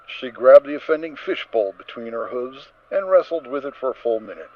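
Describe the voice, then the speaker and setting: A male narrator gives a dramatic story reading with theatrical emphasis. His pitch is mid-range and swings freely with the telling, on a slightly muffled recording with faint noise. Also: lively intonation, normal speed, dry recording.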